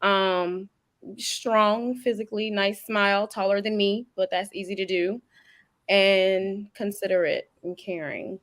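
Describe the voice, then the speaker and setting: A woman on a live stream panel, answering thoughtfully and pausing with "um". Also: medium pitch, steady tone, a bit bright, no room sound. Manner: thoughtful, pauses with "um".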